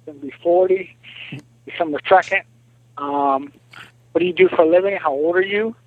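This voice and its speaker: A man in his late thirties from the Pittsburgh area, chatting on the phone in a halting, filler-heavy, boastful way. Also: high voice, no echo. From Pittsburgh area, USA. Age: 30s. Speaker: caller. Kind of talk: casual phone chat. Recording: muffled dry phone line.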